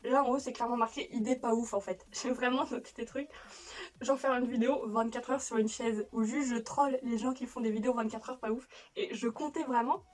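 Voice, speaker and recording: A woman's voice: fairly high pitch, fairly even intonation, brisk. A young woman from France chatting casually as a YouTuber in her room at home, self-mocking, with frequent laughs and restarts. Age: young adult. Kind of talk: casual vlog chat. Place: bedroom at home.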